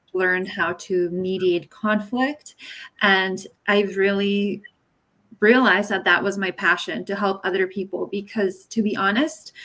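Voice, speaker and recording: A woman's voice: mid-range voice, a bit bright. A woman from Czechia speaking earnestly on a video call as an interview guest, with hesitations and fillers. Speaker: guest, conflict resolution specialist. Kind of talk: interview answers. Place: home in Pennsylvania.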